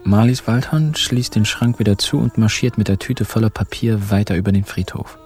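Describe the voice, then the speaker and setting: A man's voice: fairly low pitch, steady tone, moderate pace, darkish tone, natural voice. A man playing the dry, laconic narrator of a radio crime drama, with an ironic delivery. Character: wry male crime-story narrator. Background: guitar music.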